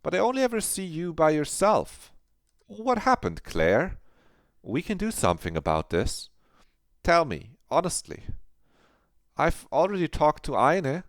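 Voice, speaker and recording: A man voicing a stern but caring middle-aged woman teacher who scolds and probes a quiet student. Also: fairly high pitch, huge pitch swings, unhurried, long pauses, dry recording, pitched above the performer's own voice, heavily acted. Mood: probing. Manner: disappointed, probing, scolding.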